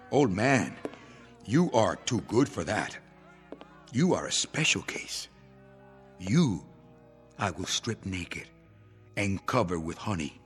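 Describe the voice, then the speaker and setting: Rolling through long hall reverb over music, a gloating, vengeful rebel leader in a radio play. He is cruel and proud, taking his time over each threat, with theatrical swings from low menace to high outrage. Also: a bit bright, exaggerated voice. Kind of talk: old-time radio drama acting. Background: dramatic music.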